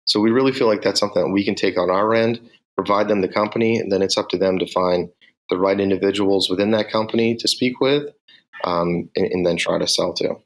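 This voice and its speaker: This is a man with a Boston accent and a very low voice. He talks through how his sales team works, directly and in measured steps, the way a manager answers an interviewer. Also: fairly even intonation. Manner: direct, measured, explains step by step.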